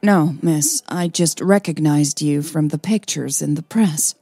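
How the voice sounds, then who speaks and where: A woman plays a deferential male restaurant host in an audio story. He is formal and apologetic, with a dark, soft tone and measured pace, slightly husky. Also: low voice, dry recording, soft background music, full character voice.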